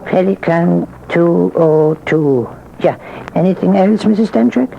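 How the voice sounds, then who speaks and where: An actor playing a nervous, complaining delicatessen owner and bookie in old-time radio drama, with broken, foreign-flavored phrasing. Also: male voice, sits high, measured pace, vocal fry, full character voice. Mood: businesslike. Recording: dull, noisy vintage radio recording.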